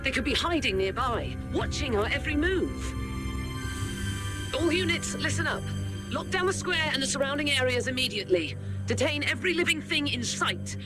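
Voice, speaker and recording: Game role: stern lady commander, high voice, scornful, authoritative, music underneath. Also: expressive, normal speed. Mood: angry, commanding. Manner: commanding, scornful, sharp orders. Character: stern, imperious female military commander. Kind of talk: scripted video game acting.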